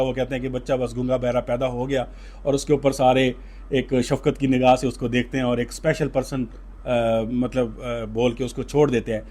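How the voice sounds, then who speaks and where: Pakistani man interviewing, halting and wordy. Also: mid-range voice, darkish tone. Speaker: interviewer and host. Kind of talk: informal on-location interview.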